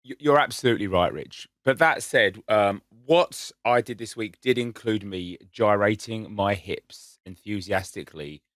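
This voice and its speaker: A man's voice: fairly low pitch, measured pace, few pauses, fairly bright tone, no room sound, studio quality. A man co-hosting a casual podcast, telling stories in an enthusiastic, self-mocking way. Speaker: podcast co-host. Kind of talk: casual chat.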